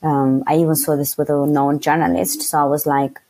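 A young woman from Afghanistan speaking frankly as an interview guest, often pausing to search for words with fillers. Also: sits low, flat delivery, dark tone. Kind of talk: candid conversational interview.

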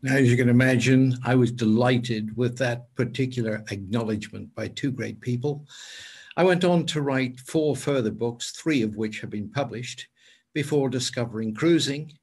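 Older male lecturer, husky and measured, webcam presentation. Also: medium pitch, darkish tone. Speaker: cruise lecturer. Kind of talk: presenting his work to camera.

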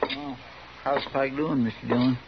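Creaky folksy deputy played by an actor. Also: male voice, medium pitch, steady tone, normal speed, close to an everyday voice. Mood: deferential. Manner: folksy, deferential. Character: folksy, eager, deferential deputy to a marshal. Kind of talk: 1950s radio Western acting. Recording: very noisy, dull 1950s radio recording.

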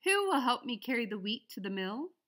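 A woman voicing an industrious, self-reliant little red hen in a storybook, with emphatic, drawn-out delivery. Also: on the high side, very animated, a bit bright, voice pushed up. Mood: determined.

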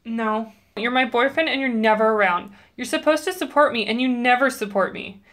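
A woman plays a bitter, lonely teenage girl in an audio drama. The voice is fairly high, and she talks in an emotional, guarded way. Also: normal speed, a bit bright, natural voice. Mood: upset.

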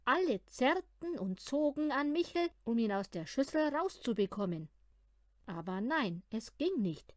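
Female storyteller for children's audiobook, very animated, measured pace, dry close sound. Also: sits high, dry recording. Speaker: audiobook reader of children's stories. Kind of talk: expressive storytelling narration for children.

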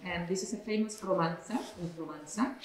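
A woman's voice: on the low side, a little fast, crowd noise. Puerto Rican woman in an echoey hall. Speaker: opera singer guest. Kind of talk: casual interview talk and song introductions. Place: large wood-panelled hall with organ. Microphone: standing studio mic. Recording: echoey hall with long reverb.

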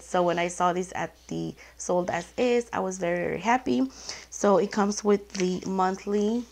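A woman vlogger chatting casually through a craft haul, her voice a bit off from being sick, rambling and excitable with lots of fillers. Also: on the low side, vocal fry. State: sick, voice sounds off. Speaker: planner vlogger. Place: indoors at a tabletop, hands only on camera.

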